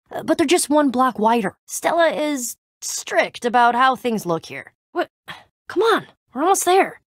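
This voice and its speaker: Youngish woman game hero, voiced by an actress, tough but exasperated, lively swingy pitch, dry close sound. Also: no room sound, natural voice. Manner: wry, casual, hesitant trailing-off delivery. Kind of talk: video game voice acting.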